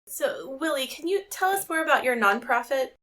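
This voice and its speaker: A woman's voice: high voice, expressive, moderate pace, fairly bright tone. A woman co-hosting a podcast, talking in a friendly, conversational way. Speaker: podcast co-host. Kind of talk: podcast interview.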